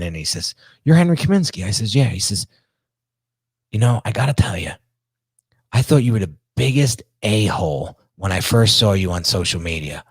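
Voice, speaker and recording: A middle-aged man with a strong New Jersey accent and a deep voice, hosting a podcast and telling stories in casual, animated chat, often repeating and restarting words. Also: slightly rough, no echo. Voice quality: deep register. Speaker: podcast host.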